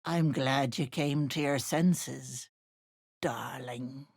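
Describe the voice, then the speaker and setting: A woman playing a sly old hag witch in a video game, with an Irish accent and a husky, raspy voice that wheedles sweetly and turns menacing. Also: a bass voice, drawn-out words, a little mellow, full character voice. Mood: sweetly wheedling. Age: older. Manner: wheedling endearments turning to menace. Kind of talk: video game character acting.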